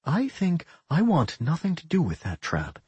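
A man's voice: a little high, huge pitch swings, moderate pace, a little mellow, husky, dry recording, pitched above the performer's own voice, heavily acted. Man as a sarcastic, muttering reluctant young adventurer. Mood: grumbling.

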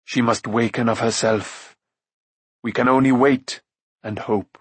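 A wise warrior prince from a storybook fantasy, read by a male narrator. He is grave and compassionate, speaking in measured, solemn phrases with a gentle authority. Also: medium pitch, steady tone, husky, dry recording.

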